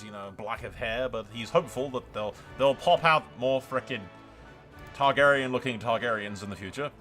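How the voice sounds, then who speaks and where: A man's voice: medium pitch, bright, forceful tone. A man giving casual, sweary gaming commentary as host, with a wry, joking and informal manner. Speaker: video game streamer, host.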